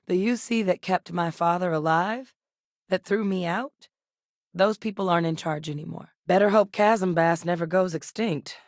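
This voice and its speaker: An actress playing a determined, professional military scientist woman in a sci-fi video game, speaking in an earnest, measured and articulate way. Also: lowish voice, no echo, studio quality, natural voice. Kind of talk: scripted video game character dialogue.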